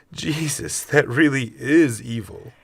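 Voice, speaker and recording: New goon in radio comedy, lowish creaky voice, stammery and eager, dry recording. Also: male voice, takes it slowly, dry recording, heavily acted. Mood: incredulous, uneasy. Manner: hesitant, stammering, casual. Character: nervous, eager rookie male henchman on first day. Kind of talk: comedic radio theatre acting.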